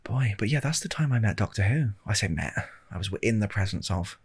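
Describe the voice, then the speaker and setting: A British man telling a rambling comic ASMR story in a deadpan, hesitant way, with a husky, gravelly voice, close to the microphone. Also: on the low side, brisk. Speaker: video storyteller. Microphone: close handheld-recorder style microphone.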